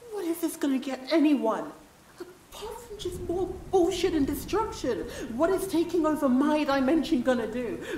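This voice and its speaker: A woman playing a bitter, heartbroken yandere with supernatural power in a dramatic audio roleplay, speaking in an emotional, halting way. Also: high voice, dark tone, far from the mic, full character voice. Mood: anguished, furious. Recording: roomy sound with faint noise.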